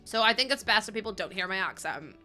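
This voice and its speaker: A woman's voice: mid-range voice, animated, fast talker, faint music behind. A woman livestreaming, chatting casually with her viewers and laughing often. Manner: chatty, laughs often, greets chat warmly. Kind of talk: casual chat with stream viewers.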